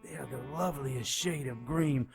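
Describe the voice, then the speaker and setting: An actor playing a lecherous, melodramatic enchanted shopkeeper in an audio drama, whining and leering. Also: male voice, mid-range voice, normal speed, vocal fry, faint music behind, natural voice. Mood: boastful recounting. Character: sleazy, excitable male enchanted shopkeeper, panicky and lecherous.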